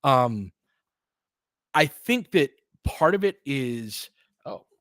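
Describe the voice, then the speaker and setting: A man's voice: mid-range voice, big pitch swings, drawn-out words, fairly bright tone, dry recording, studio quality. A man giving informal, candid basketball play-by-play and opinionated commentary as the host of a fan stream.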